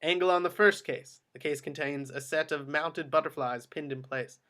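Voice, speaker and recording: A man reads out stage directions for a table read. His voice is on the high side for a man, in a scripted narration style. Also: moderate pace, bright, forceful tone.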